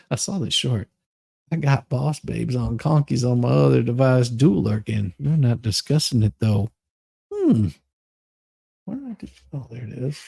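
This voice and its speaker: A man with a husky, rough-edged voice hosting a live stream, chatting casually in a theatrical, mocking way. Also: a little high, warm tone, no room sound, clean studio sound. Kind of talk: dramatic reading and casual livestream chat.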